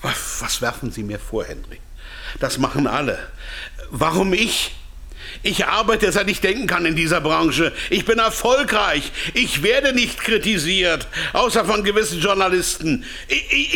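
An actor playing a captive pharmaceutical executive in a radio drama, self-justifying and slyly defiant. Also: male voice, high voice, big pitch swings, unhurried, pushed and bright, full character voice. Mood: agitated, defiant. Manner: defensive, stammering under pressure, otherwise slick. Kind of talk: radio drama acting, with whispered inner thoughts.

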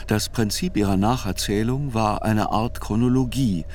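An actor as a sober chronicler, narrating a radio drama in reflective, deliberate literary phrases. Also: male voice, on the low side, a little mellow, soft background music, lightly acted. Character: sober male chronicler narrating a criminal trial.

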